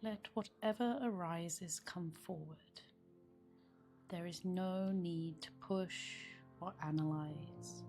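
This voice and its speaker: A woman guiding a meditation in a soft, soothing manner, with phrases often trailing into breathiness. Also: lowish voice, slow. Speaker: meditation guide. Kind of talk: guided meditation. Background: background meditation music.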